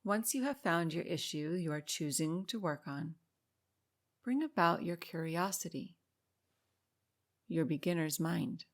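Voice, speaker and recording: A woman leading a guided meditation in a calm, soothing, unhurried way, pausing between short instructions. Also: lowish voice. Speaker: meditation guide and podcast host.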